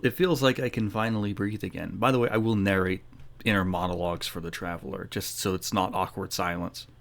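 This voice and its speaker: A man giving casual, laid-back playthrough commentary on a game, rambling a little and sometimes narrating aloud. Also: sits low. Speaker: gaming streamer.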